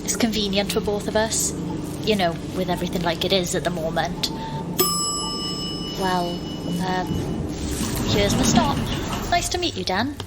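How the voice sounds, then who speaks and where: A woman's voice: mid-range voice, lively intonation, a little slow, pauses often, heavy background noise, close to an everyday voice. A woman playing a wry, exasperated young woman, narrating and acting in an audio drama. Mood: awkward, polite. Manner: dry, wry, understated.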